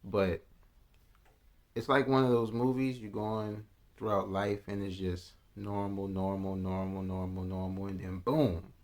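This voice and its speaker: A man's voice: low-pitched, level tone, measured pace, a little mellow. A man vlogging a casual reaction, musing aloud in a loose, slangy way with restarts and asides. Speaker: vlogger reacting to news clips.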